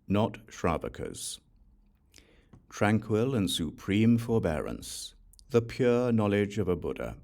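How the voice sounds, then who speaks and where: A man narrating a Buddhist scripture as an audiobook, solemn, measured and formal in his delivery. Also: low-pitched, a little mellow.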